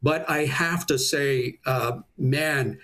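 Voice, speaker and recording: A man's voice: medium pitch, slow pace, a bit bright. A middle-aged Canadian man from small-town Saskatchewan, a public address announcer, chatting casually and reminiscing in an interview in a folksy way.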